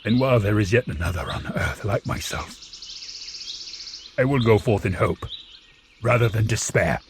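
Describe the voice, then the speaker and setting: An actor playing an anguished, vengeful, eloquent monster in an audio drama, speaking in solemn, grandiose oratory. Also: male voice, on the low side, normal speed, noisy background, close to an everyday voice. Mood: bitter, hopeful. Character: anguished, vengeful, eloquent male monster pleading with his maker.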